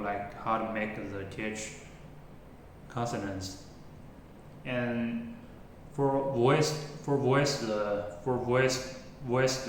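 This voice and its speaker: A man who is a non-native English speaker practising pronunciation informally, halting and often repeating and correcting himself. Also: medium pitch. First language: not English. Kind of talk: informal spoken practice log, repeating words after a recording. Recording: very echoey room, faint background noise.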